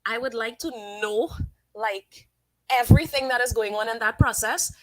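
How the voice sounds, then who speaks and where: A woman hosting a casual live stream, giving her opinions and reading viewers' comments aloud in a chatty, thinking-out-loud way. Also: on the high side, normal speed, no echo, rough recording. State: sniffly from sinus trouble. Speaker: livestream host.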